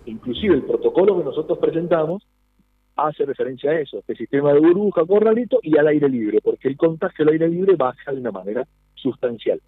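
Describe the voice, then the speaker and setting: A man's voice: a little high, animated, fairly quick, slightly rough, no echo. A man in his thirties with an Argentine accent, explaining a policy earnestly over a phone interview. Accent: Argentine Spanish. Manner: earnest, explanatory, asks for agreement. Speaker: nightlife business representative. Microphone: phone call. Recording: tinny phone line.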